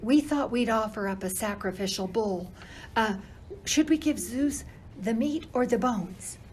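A woman's voice: fairly high pitch, expressive, unhurried, darkish tone. A woman playing a simple, eager newly created human in an amateur radio play. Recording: faint background noise.

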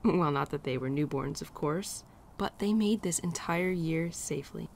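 A woman acts out a gentle female winter spirit, an affectionate old friend with a low voice. She speaks softly and playfully in an intimate ASMR roleplay, often slipping toward a whisper. Birdsong and a light outdoor ambience sit behind her. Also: moderate pace, vocal fry, slight background noise. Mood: gentle, reflective. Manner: soft, tender, playful, often dropping to near-whisper. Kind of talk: girlfriend ASMR roleplay, softly acted monologue.

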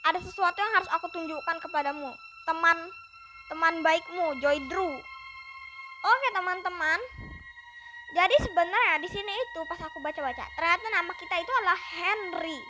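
A gaming YouTuber giving upbeat, chatty let's-play commentary and constantly addressing the viewers. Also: female voice, extremely high pitch, brisk, music in the background.

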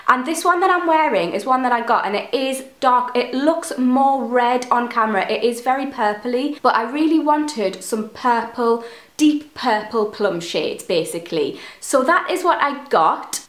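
A woman's voice: sits high, reverberant. A young woman in her twenties, a beauty vlogger chatting to camera in her bedroom, sounding a bit nasal from a cold and gushing about products. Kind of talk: casual product review to camera. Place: bedroom, indoors. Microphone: camera in front at close range.

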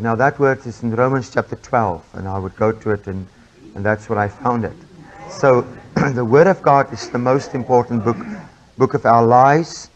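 A man's voice: medium pitch, dull audio. A man with an Afrikaans accent giving an informal sermon, chatty and full of fillers and restarts. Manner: chatty, self-interrupting with frequent fillers. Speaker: preacher.

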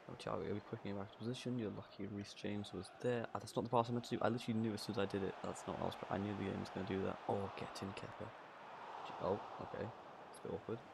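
A man with a croaky voice giving casual, excitable commentary on his own football video game match. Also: lowish voice, noisy background. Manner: excitable, swears and laughs at own play. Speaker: gaming channel host.

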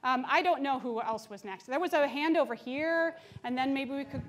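A woman's voice: high-pitched, moderate pace. Thoughtful woman answering questions on stage. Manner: thoughtful, many restarts and asides. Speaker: keynote speaker answering questions. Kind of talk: live Q&A answers at a lecture. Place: large lecture hall.

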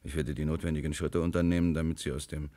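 Deep-voiced, measured police commissioner. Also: male voice, level tone, mellow. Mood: reading, businesslike. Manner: measured, terse questioning with murmured replies. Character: grown male police commissioner, calm, sceptical, probing. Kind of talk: German radio crime drama acting.

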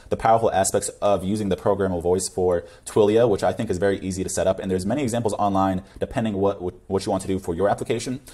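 A man's voice: on the low side, mellow. A young man presenting a coding tutorial in a casual screencast style. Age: young adult.

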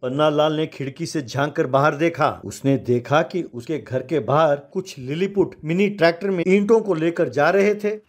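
A man's voice: fairly high pitch, moderate pace, hardly any pauses. A man narrating a children's moral story in scripted storytelling style.

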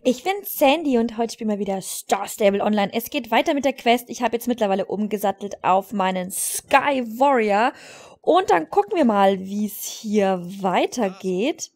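A woman hosting a let's play, chatting and exclaiming excitably as she comments on the game. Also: medium pitch, moderate pace. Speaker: gaming channel host.

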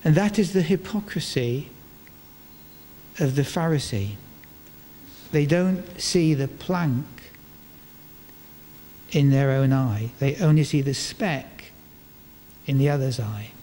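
The voice comes through long church reverb with some clear background noise. It belongs to an older man preaching a sermon at a slow pace. He sets out short phrases with deliberate pauses. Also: medium pitch, mellow. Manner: measured phrasing with deliberate pauses and rhetorical questions. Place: large stone church, indoors, before a congregation. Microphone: microphone on a stand.

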